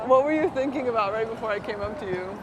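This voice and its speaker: A woman's voice: on the high side, animated, quick pace, over a noisy crowd. A woman from San Diego with an American accent, casually interviewing people outdoors as a series host. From San Diego, United States. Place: outdoors on a New York street. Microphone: clip-on lapel. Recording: noisy outdoor street with traffic.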